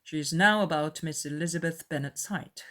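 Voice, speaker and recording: A woman voicing a proud, grave young gentleman with formal, measured speech in a period novel. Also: deep voice. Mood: reserved, superior. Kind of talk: dramatized period novel audiobook.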